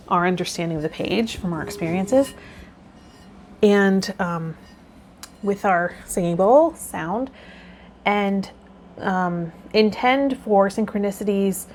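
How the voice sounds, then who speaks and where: A woman hosting a tarot vlog, reading card meanings and then talking loosely and gently about the week ahead. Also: mid-range voice, a little hiss or hum. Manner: loose and rambling with fillers when unscripted. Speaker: tarot vlogger.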